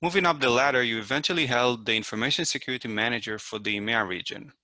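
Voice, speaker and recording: A man in his forties hosting a relaxed podcast chat, friendly and halting, with a fair amount of "uh" between his thoughts. His voice is bright and forceful. It is recorded close and dry, with no room sound. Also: medium pitch, no echo, poor audio. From Israel. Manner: friendly, hesitant with many fillers. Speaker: podcast host. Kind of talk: casual interview.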